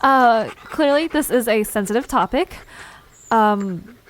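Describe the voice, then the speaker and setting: A woman's voice: fairly high pitch, lively intonation, slow pace. A woman playing a nervous, curious young woman in an audio drama, flustered and stammering as she talks. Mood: puzzled, uneasy.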